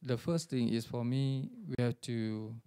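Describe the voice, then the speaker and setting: A man, an academic speaking on a panel, hesitant and halting, with many fillers and repeated words. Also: mid-range voice, dark tone, clear, resonant tone. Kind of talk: formal panel remarks.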